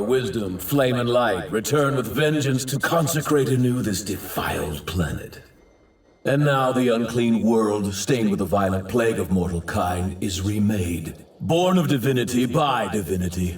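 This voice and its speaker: An actor playing an arrogant, self-righteous villain god in an anime dub, declaiming in a grandiose, archaic and theatrical manner. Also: male voice, lowish voice, lively intonation, measured pace. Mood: grandiose, menacing. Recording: roomy, distant, faint effects noise.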